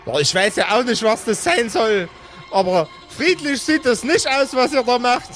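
A blunt village woman from a comic audio play, played by a man, scolds in a very high voice. She sounds indignant, suspicious and colloquial. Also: animated, normal speed, fairly bright tone, soft background music.

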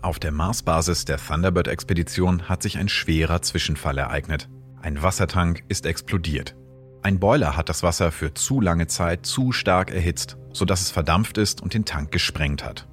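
Deep-voiced man explaining science. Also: normal speed, soft background music. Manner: calm, explanatory. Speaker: host and astrophysicist. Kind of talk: scripted narration.

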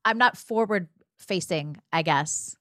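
A woman's voice: lowish voice, takes it slowly, sharp tone. A woman speaking as a podcast guest in a casual conversational style.

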